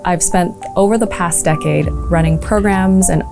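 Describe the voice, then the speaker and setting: A woman's voice: lowish voice, moderate pace, vocal fry, music in the background. A woman from Malaysia talking earnestly about her work supporting entrepreneurs, with frequent ums and uhs. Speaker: entrepreneurship program leader.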